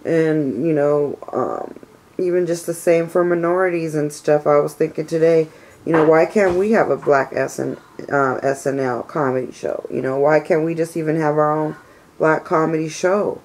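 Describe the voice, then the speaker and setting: Stuffed-up raspy woman venting. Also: deep voice, moderate pace, darkish tone, slight background noise, low-quality recording. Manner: frustrated, venting complaints; rambling with many fillers like "you know". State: stuffy nose from a cold. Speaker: vlogger. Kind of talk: casual personal vlog rant.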